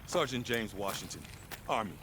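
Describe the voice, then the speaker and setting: An actor playing an authoritative, terse American army sergeant in a cinematic war game. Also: male voice, fairly low pitch, lively intonation, normal speed, noisy background, natural voice. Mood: stern, controlled. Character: calm, commanding American army sergeant in wartime. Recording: loud music behind dialogue.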